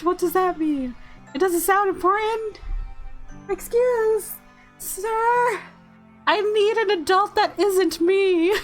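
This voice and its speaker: High-voiced, playful woman playing games. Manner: playful, expressive, giggly. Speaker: gaming video host. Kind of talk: let's play, reading narration and reacting casually.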